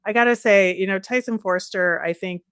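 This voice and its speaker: A woman's voice: medium pitch, a little fast, fairly bright tone, smooth, no room sound, clean studio sound. A woman co-hosting a sports podcast, talking casually about the game.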